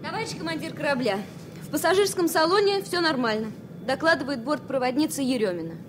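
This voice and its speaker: Radio-play air hostess: very high voice, lively intonation, courteous and soothing. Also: female voice, fast, background noise. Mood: brisk, polite. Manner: courteous, reporting crisply. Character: polite, soothing female flight attendant. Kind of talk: 1970s Soviet radio play acting.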